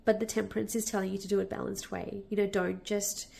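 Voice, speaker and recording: Lady w a cold doing a tarot reading, bit nasal, gravelly croaky voice, lots of ums. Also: medium pitch, level tone, fast. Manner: warm, reassuring, with many fillers and restarts. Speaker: tarot reader. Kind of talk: casual spoken tarot reading.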